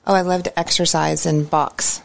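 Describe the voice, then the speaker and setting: A woman's voice: low voice, little pitch movement, moderate pace, creaky. A middle-aged woman from Oklahoma, giving brief, plain answers in a short school interview. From Oklahoma, USA.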